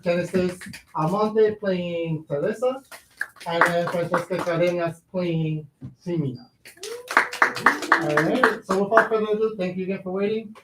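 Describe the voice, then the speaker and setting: A man's voice: high-pitched, steady tone, unhurried. A man speaking as a playwright at a live staged reading, giving introductions and narrating stage directions. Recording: echoey room with background noise.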